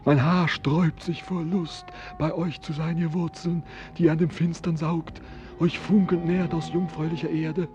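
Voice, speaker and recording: An actor playing a melancholy, brooding sailor who declaims in a solemn, poetic, visionary way in a German radio drama. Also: male voice, on the high side, level tone, normal speed, warm tone, soft background music, lightly acted. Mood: fervent, visionary. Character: melancholy, brooding male sailor, estranged from life, longing for earth.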